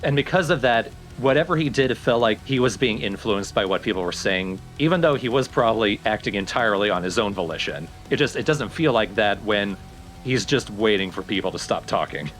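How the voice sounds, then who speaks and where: A man's voice: on the low side, expressive, a little fast, a bit bright. A man giving casual, analytical and opinionated commentary on a video game. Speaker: let's play co-commentator. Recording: background music under the voice. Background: game music.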